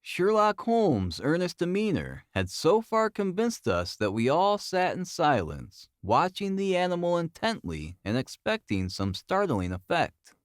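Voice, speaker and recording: Very expressive male audiobook narrator. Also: a little high, a little slow, few pauses, no room sound, clean studio sound. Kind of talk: scripted dramatic narration of a classic detective novel.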